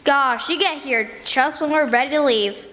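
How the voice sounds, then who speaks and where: A woman's voice: high voice, normal speed, fairly bright tone. A female performer playing an earnest, headstrong little girl in a stage radio drama, with emphatic delivery. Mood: surprised, glad. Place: college campus stage performance in a hall. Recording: echoey hall, faint noise.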